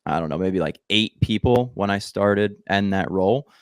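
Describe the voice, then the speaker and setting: A man with a low voice hosts a podcast interview from a home studio. He speaks right into a large studio microphone, and the sound is dry with no room echo. His delivery is relaxed and joking, full of casual likes. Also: normal speed, clear, resonant tone. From United States. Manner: joking, relaxed, heavy use of like. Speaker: podcast host. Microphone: headphones and large studio mic.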